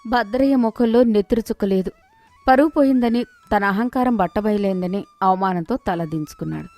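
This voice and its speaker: A woman's voice: mid-range voice, normal speed, a little mellow, dry recording. Female storyteller for scripted moral-tale narration, close dry studio sound, music bed. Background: background music.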